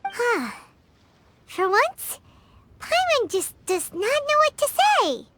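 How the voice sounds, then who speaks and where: Game sidekick: a tiny excitable fairy, squeaky and extremely high, very animated, with music behind. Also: female voice, takes it slowly, heavy background noise, full character voice. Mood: baffled. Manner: squeaky, speaks of herself in third person. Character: tiny childlike floating fairy companion, excitable, food-loving. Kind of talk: scripted game dialogue.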